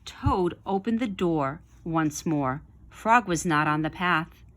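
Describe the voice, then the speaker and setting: A woman narrates a children's storybook aloud at an unhurried pace. Her voice sits slightly low and moves expressively up and down. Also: animated, fairly bright tone. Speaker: storybook reader.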